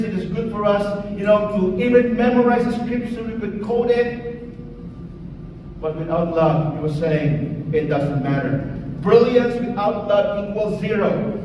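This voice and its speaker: A man preaching a sermon as pastor. He speaks slowly and emphatically, with long pauses, and lets his lines fall away at the end. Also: high-pitched, a little mellow. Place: church hall. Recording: echoey hall, voice from far off, faint noise.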